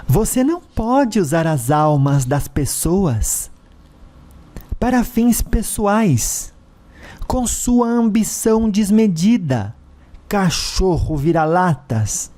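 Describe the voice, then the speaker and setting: A man's voice: high voice, very animated, takes it slowly, mellow, voice pushed up, full character voice. A man voicing an indignant woman spirit in an audio story, scolding and accusing.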